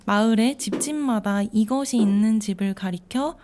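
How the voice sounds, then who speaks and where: Polite young woman guest, soft laughs. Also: mid-range voice, normal speed, a little hiss or hum. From South Korea, Okcheon area. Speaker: guest social worker. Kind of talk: casual interview answers.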